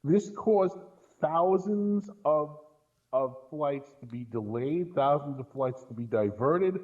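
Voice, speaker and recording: A man's voice: on the high side, expressive, slow, darkish tone, lo-fi sound. A man chatting casually as a podcast guest, telling anecdotes and checking in with his listener as he goes. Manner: storytelling with rhetorical check-ins. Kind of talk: casual anecdotal chat about films.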